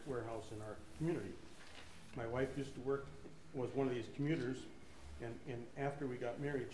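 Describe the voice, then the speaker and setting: A hoarse man with a cold, speaking haltingly at a city council meeting with many fillers and restarts. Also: medium pitch. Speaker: council appointee applicant. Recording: echoey council chamber with noise.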